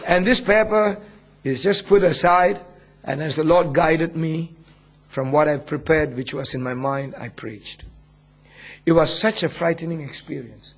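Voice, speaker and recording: Husky preacher with an Indian accent. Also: male voice, on the high side, a little slow. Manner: humble, earnest, chuckles often. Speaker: pastor. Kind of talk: sermon, personal testimony.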